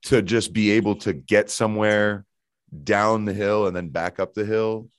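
A man's voice: on the low side, fairly even intonation, normal speed, clear, resonant tone, dry recording. A man hosting a podcast in easygoing, enthusiastic interview chat with frequent restarts. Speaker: podcast host.

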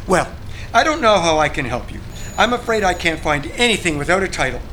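A man's voice: sits high, big pitch swings, brisk, sharp tone, a little hiss or hum, exaggerated voice, low-quality recording. A man playing a prissy, evasive, exasperated publishing agent in an audio drama, speaking in a fussy, formal, condescending way. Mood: placating, impatient.

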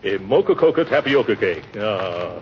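Blustery, swooping old-time radio uncle. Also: male voice, on the low side, big pitch swings, normal speed. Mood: busy, confident. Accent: American. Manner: blustery, hemming and hawing. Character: pompous, blustering middle-aged uncle, flustered but eager. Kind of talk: 1940s radio comedy acting. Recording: muffled, noisy old radio recording.

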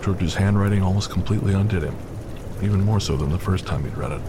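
A man's voice: low-pitched, fast, noisy background. A man giving scripted, dramatic narration for an audio story. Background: music, rain and storm sound effects.

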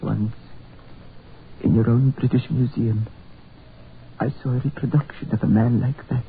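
An actor playing an injured, agitated man in a 1940s radio horror drama, speaking in formal, halting, dramatic phrases. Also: mid-range voice, level tone, lightly acted. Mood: awed, grave. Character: injured foreign man, agitated, awestruck and insistent. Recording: noisy, tinny 1940s radio recording.

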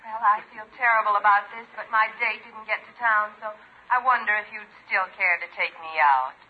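A woman's voice: mid-range voice, a little fast, slight background noise. An actress playing a polite woman calling a suitor through a telephone filter in a 1950s radio comedy. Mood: apologetic, hopeful. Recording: tinny phone line, old radio recording.

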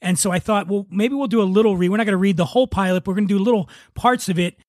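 High-pitched man hosting a podcast. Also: racing, a little mellow, dry recording, studio quality. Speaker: podcast host. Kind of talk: casual comedic podcast chat.

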